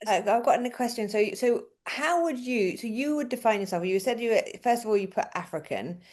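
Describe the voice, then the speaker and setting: A woman's voice: a little high, animated, fairly quick, a little mellow. A Swedish-born woman with a British accent who hosts an interview and asks searching questions, rephrasing as she goes. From Sweden. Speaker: podcast host. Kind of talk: interview conversation.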